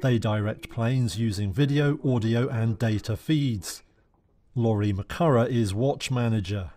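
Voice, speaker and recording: A man's voice: medium pitch, unhurried, warm tone. A man narrating a scripted news report as a reporter.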